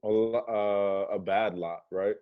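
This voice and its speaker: A man's voice: lowish voice, steady tone, slow pace. A man playing an indignant, competitive home cook in a comedy table read. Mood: proud, pointed. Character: indignant, competitive young man proud of his cooking. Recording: muffled video call audio.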